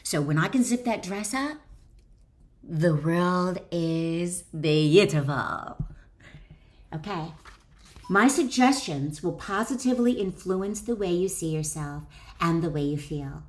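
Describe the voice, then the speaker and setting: A woman's voice: fairly low pitch, animated, takes it slowly. A middle-aged woman author reads her own wellness book aloud with chatty asides, encouraging the listener directly.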